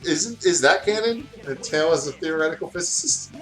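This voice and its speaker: A man's voice: fairly high pitch, expressive, normal speed, a bit bright. A man with a casual, profane, self-deprecating way of talking, chatting and reading a story aloud during a game playthrough. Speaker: guest reading fan fiction aloud.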